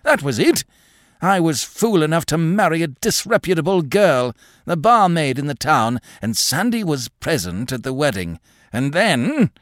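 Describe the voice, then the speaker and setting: A rambling old gentleman in a storybook reading, his voice sharp and strangely unnatural, lurching between excited outbursts. The voice sits high and swings widely in pitch. It is recorded close and dry. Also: a little slow, no room sound. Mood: cunning, defensive.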